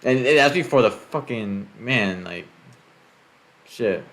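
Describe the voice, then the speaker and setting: A man's voice: on the low side, expressive, slow pace, fairly bright tone, slight background noise. A man hosting a casual podcast, talking loosely with swearing and many fillers. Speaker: podcast host.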